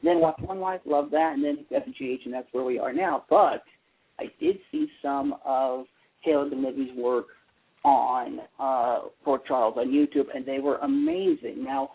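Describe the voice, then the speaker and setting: A man's voice: medium pitch, very animated, moderate pace, creaky, slightly rough. An American man chatting casually on a radio show, talkative and opinionated, rambling with emphatic points, heard over a phone line. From United States. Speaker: guest commentator, soap opera fan.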